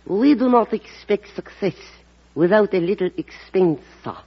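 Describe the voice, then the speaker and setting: An actor playing a courteous, quietly confident ambassador, speaking carefully in an old radio drama. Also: male voice, high-pitched, animated, a little slow, husky, heavily acted. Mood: polite, confident. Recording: muffled vintage radio broadcast recording.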